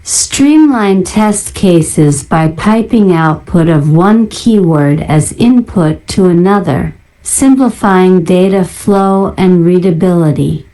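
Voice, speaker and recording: A woman narrator doing a deliberate ASMR-style read-out of listed items in a flat manner. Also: lowish voice, rough recording. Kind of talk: ASMR reading of a list of software libraries.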